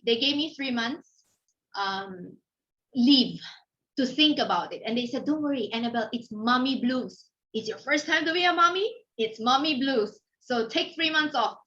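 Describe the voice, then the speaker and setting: A middle-aged woman from the Philippines with a Filipino accent gives an informal motivational talk, telling personal anecdotes in an animated way and acting out thoughts and remarks. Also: sits high. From Philippines (Manila). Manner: animated storytelling, acts out inner thoughts and quoted remarks. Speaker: guest speaker, company founder. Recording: dull, lo-fi audio.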